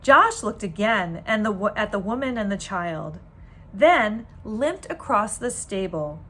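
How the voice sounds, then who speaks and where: Expressive woman reading a storybook. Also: mid-range voice, a little slow. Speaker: teacher reading a book aloud. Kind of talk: children's storybook read-aloud.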